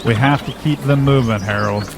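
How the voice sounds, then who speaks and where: Actor as a steady, determined group leader. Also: male voice, mid-range voice, a little fast, vocal fry, background noise, lightly acted. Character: steady, determined man guiding a refugee group. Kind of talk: audio drama acting. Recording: music and birdsong behind.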